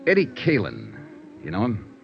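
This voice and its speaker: An actor playing a wry, hard-boiled American investigator in a 1950s radio noir style, clipped and sardonic. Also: male voice, low-pitched, huge pitch swings, moderate pace, vocal fry, faint music behind, heavily acted. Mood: probing, dry. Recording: dull vintage radio recording.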